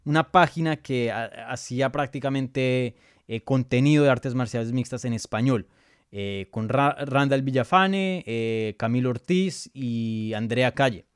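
A man's voice: medium pitch, unhurried, clean tone, no echo, studio quality. A Colombian-born man hosting a live Q&A, reading viewer questions aloud and answering them in a chatty way, with many fillers and restarts. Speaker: MMA journalist and show host.

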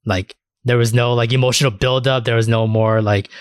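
A man chats as a podcast co-host in an informal, loose way. His pitch sits in the middle for a man, and the sound is dry, with hardly any room on it. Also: fairly even intonation, fairly quick, smooth, no echo. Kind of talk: casual chat.

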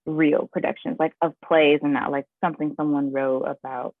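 A young woman talks through her acting training in an interview, lively and laughing often. Her voice sits low and gravelly, and it comes over a dry, tinny phone line. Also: moderate pace, no room sound. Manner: animated storyteller, laughs often, says "like" a lot. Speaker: guest actress. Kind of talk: casual interview chat.

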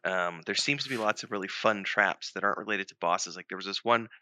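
A man's voice: deep voice, a little fast, pushed and bright, dry recording. A man in casual chat who retells things he has seen, saying "like" a lot. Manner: talkative, retells clips with lots of "like".

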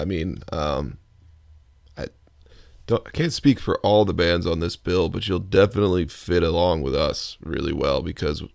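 A very low, gravelly male baritone, hosting a laid-back interview. He hedges and restarts often. The sound is dry and close, with no room around it. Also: no echo. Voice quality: deep baritone. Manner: hesitant, many ums and restarts. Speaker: podcast host. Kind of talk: casual interview chat.

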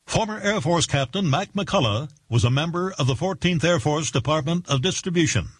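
A man reading scripted documentary narration in a husky voice. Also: on the high side, animated, fairly quick, background noise.

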